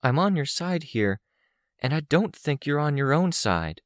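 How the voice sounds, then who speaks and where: A forlorn, stubborn young woman, voiced by a male narrator in an audiobook. The pitch is pushed well up, with an earnest, pleading insistence and a dry, close sound. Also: sing-song, measured pace, no echo. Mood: pleading, insistent.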